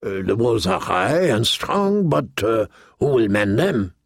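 A man's voice: fairly low pitch, big pitch swings, a little slow, no echo, clean studio sound, heavily acted. A man voicing a boastful, lewd, wheedling pirate captain in an audiobook, with a theatrical, jovial manner and questioning tags. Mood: jovial, scornful. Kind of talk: audiobook character voice.

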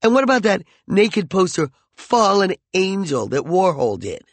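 A man's voice: a little high, sing-song, a little slow, creaky, no echo, voice pushed up, heavily acted. A man playing a jealous, volatile hothead. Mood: mocking. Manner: heated, mocking and intense. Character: jealous, volatile young man, raging then pleading.

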